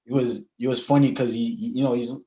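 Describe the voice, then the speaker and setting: A man's voice: mid-range voice, fairly even intonation, warm tone. A young man, chatting casually and hesitantly as an athlete being interviewed. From Zimbabwe and South Africa. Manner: hesitant, frequent pauses and "you know".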